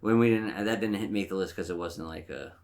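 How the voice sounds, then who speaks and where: Male podcast co-host, low voice, conversational and informal, somewhat halting delivery with repeated phrases. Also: darkish tone. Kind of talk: casual chat about movies.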